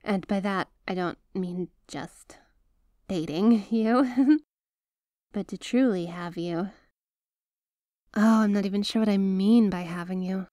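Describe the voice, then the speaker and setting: A woman's voice: medium pitch, dark tone, dry recording, studio quality, natural voice. A woman playing a doting, bashful yet possessive young mafia heiress in an intimate ASMR roleplay, speaking to the listener in a hesitant, coaxing way. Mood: earnest, lovestruck. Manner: hesitant, coaxing, affectionate, halting phrasing.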